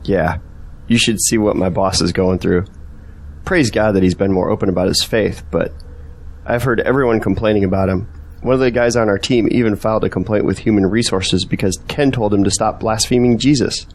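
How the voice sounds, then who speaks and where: A man's voice: lowish voice, fairly even intonation, brisk, a little mellow. A young man playing an earnest, faithful friend in an audio drama, sincere and questioning. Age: young adult.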